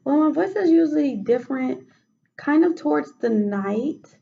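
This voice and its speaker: A woman with a raspy voice answering questions as a patient in a role-played clinical interview, hesitant with many fillers and restarts. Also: high voice, lively intonation, measured pace, muffled recording. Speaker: mock patient in a role-play case study.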